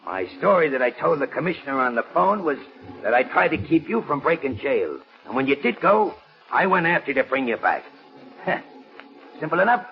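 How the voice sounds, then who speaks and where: Cocky gravelly gangster, sneery wisecracks, muffled old radio crime show. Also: male voice, fairly high pitch, very animated, brisk. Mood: cocky, sneering. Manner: wisecracking tough-guy bluster. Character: cocky, sneering male gangster, double-crossing convict. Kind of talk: 1940s radio crime acting. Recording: dull vintage 1940s radio recording.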